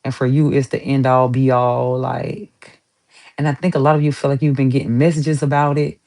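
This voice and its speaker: A woman's voice: very deep voice, flat delivery, moderate pace, gravelly, dark tone. A woman giving a casual tarot reading to her viewers, chatty and emphatic, swearing casually and repeating herself for emphasis. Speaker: tarot reader.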